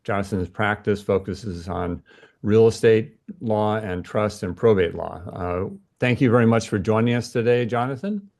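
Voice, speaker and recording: A man with a fairly low voice acts as the interviewer. He delivers prepared introductions and questions in a formal, measured manner. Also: creaky, darkish tone.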